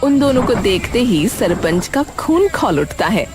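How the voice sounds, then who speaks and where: A woman's voice: on the high side, very animated, measured pace, darkish tone. A woman narrating a scripted story as a storyteller. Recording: music bed under the voice.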